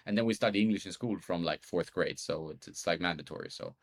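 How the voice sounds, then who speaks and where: A man with a fairly low voice talks in a relaxed, rambling way. He circles around his points with lots of fillers and restarts, speaking English in casual conversation. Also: fast. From Sweden. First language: Swedish.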